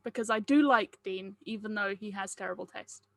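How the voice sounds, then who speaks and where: A woman's voice: mid-range voice, normal speed, no echo. A woman chatting casually and playfully as she runs a group script read, with a jokingly argumentative manner.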